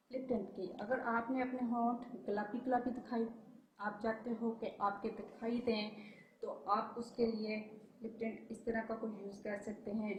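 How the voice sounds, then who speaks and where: A woman's voice: on the high side, roomy sound, a little hiss or hum, low-quality recording. A woman with a sore throat, chatting casually through a makeup haul as a beauty vlogger. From Pakistan. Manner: chatty, enthusiastic about products. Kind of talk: casual product review.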